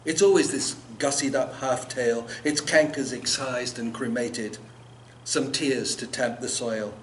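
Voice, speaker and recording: An older man reads his poetry at a live event with an expressive, dramatic literary delivery. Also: mid-range voice, a little slow. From South Africa and England. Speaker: poet. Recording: noisy live event room recording.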